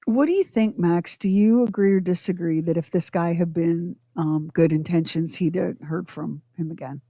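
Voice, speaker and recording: A woman co-hosting a radio show, talking casually and explaining things in emphatic, deliberate phrases. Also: fairly low pitch, dark tone, no room sound. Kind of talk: casual conversational podcast talk.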